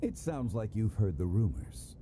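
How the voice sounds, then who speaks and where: A man voicing a dignified, scholarly consultant in a video game, formal and measured, with music behind him. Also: fairly low pitch, very animated, mellow, slight background noise. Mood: knowing. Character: dignified, scholarly male consultant recounting ancient history.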